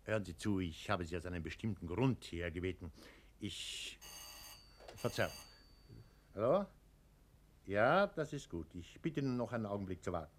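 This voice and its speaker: A man's voice: low-pitched, lively intonation, moderate pace, pauses often, slight background noise. A man playing an officious department chief in a radio play, formal and unctuous, servile to superiors and patronizing to those below. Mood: placating. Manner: formal, unctuous, self-righteous. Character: officious male bureaucrat chief, servile upward, patronizing downward.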